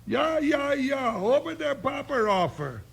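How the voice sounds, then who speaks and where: A high-pitched, creaky voice plays a garrulous cowboy sidekick. He is folksy and comically baffled, in old radio western style, with faint broadcast noise behind him. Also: very animated, slow, a bit bright, heavily acted. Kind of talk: 1950s radio western acting.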